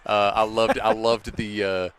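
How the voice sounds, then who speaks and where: A man's voice: fairly low pitch, little pitch movement, a little slow, a bit bright, clean tone. A man giving casual, rambling commentary on a school soccer match, restarting sentences as he talks. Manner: rambling, self-correcting, chatty. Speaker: play-by-play commentator.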